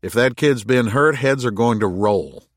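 A man voicing a gruff, skeptical moon colony worker in an audiobook, with blunt, sardonic retorts. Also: medium pitch, a little slow, no room sound, studio quality, lightly acted. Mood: dry, matter-of-fact. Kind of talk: audiobook character dialogue.

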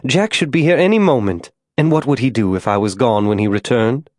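A man's voice: mid-range voice, lively intonation, brisk, a little mellow, no room sound, clean studio sound, natural voice. A man narrating an audiobook, voicing a proud, genteel frontier wife who is stubbornly waiting, in a polite, wistful, chatty manner. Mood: haughty, insistent. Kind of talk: audiobook character dialogue.

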